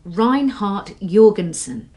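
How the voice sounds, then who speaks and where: A woman's voice: medium pitch, lively intonation, slow, a little mellow. An actress playing an earnest young police assistant in her twenties in a radio drama, hesitant but insistent. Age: 20s.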